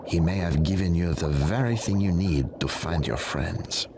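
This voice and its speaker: A man's voice: low-pitched, moderate pace, noisy background. A man playing a solemn, wise, reassuring guide in a cartoon video game, formal and encouraging.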